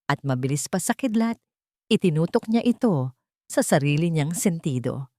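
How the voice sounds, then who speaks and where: A woman narrating a dramatic audio story in a measured, scripted way. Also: low voice, no echo, clean studio sound. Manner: measured, dramatic pacing with expressive rises.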